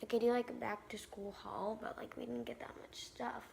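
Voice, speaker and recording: A woman's voice: fairly high pitch, level tone, a little fast, gravelly, darkish tone, a little hiss or hum. A teenage girl chatting casually in a vlog, full of "like" and "um" fillers, filmed on a handheld phone. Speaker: vlogger. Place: home and outdoors, garden trampoline.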